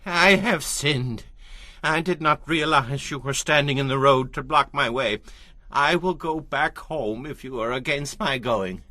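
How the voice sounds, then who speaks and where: A man playing an excitable, quick-tempered biblical prophet with an emphatic, declamatory delivery in a dramatized scripture reading. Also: mid-range voice, dry recording, studio quality. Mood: contrite. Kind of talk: dramatized scripture audio acting.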